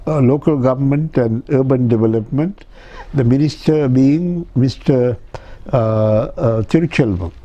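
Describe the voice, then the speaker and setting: An older man from Sri Lanka, raised speaking Tamil at home, looks back on his early career. His voice is very dark and mellow, and the recording sounds slightly dull. He pauses often and fills gaps with "you see.". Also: mid-range voice. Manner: reminiscing with many hesitations and "you see". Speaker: interviewee. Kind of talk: life story interview.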